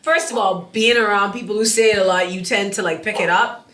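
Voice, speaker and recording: A woman's voice: medium pitch, steady tone, a little fast, fairly bright tone, roomy sound. A woman with a Bahamian accent co-hosting a podcast in casual, playful, teasing group chat. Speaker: podcast co-host.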